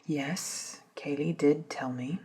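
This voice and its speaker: A woman acting in an ASMR audio drama as a stern, guarded leader, speaking in a measured, dry, admonishing way. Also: deep voice, steady tone, mellow, slightly rough, exaggerated voice, rough recording. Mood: dry, controlled.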